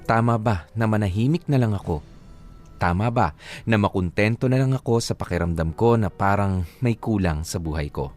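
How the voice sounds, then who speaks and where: A man with a Filipino accent narrating a personal letter in first person for a radio drama. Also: lowish voice.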